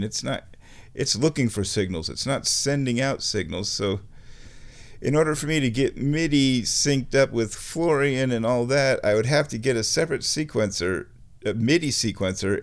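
A man giving a casual, unscripted music gear demonstration, thinking aloud in a rambling, halting way. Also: mid-range voice, expressive.

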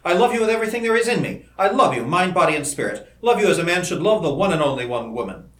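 A man's voice: a little high, huge pitch swings, quick pace, fairly bright tone, voice pushed up. A man voicing an ardent, driven young male scientist in a storybook, speaking with emphatic, effusive intensity. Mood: fiercely adoring. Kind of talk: old-fashioned storybook dialogue. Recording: roomy, echoing indoor recording.

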